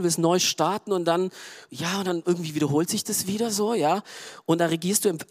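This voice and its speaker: A middle-aged man from Romania, preaching a sermon in a loose, conversational way, full of fillers and quiet asides. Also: high-pitched. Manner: conversational, frequent "ja" and "ähm" fillers. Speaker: pastor.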